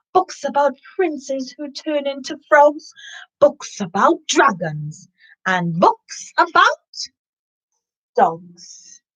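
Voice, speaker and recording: A woman from Trinidad hosts a story time for children. Her voice sits a little high and moves with very lively intonation. She takes her time, speaking expressively and directly to young listeners. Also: rough recording. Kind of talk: children's story time reading with casual asides.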